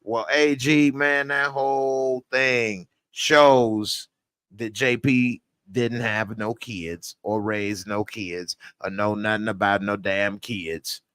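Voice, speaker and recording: A man's voice: lowish voice, fairly even intonation, takes it slowly, fairly bright tone, clear, resonant tone, no room sound. Blunt, slangy male TV reviewer. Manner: blunt, slangy, rants with heated outbursts. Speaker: TV series reviewer. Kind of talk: casual spoken episode review.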